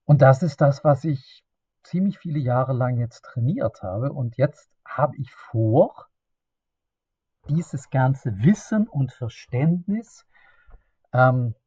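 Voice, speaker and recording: A middle-aged man with a Swedish accent, a native Swedish speaker, hosting a solo podcast in a halting, unscripted way, often restarting and searching for words. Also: medium pitch, lively intonation, warm tone, slightly rough, dry recording, highs cut off. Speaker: podcast host.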